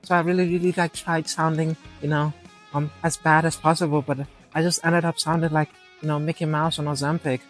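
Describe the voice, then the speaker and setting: A man impersonating a famous pop star in a comedic mock interview, rambling and filling every pause with "you know". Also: fairly high pitch, level tone, faint music behind, lightly acted.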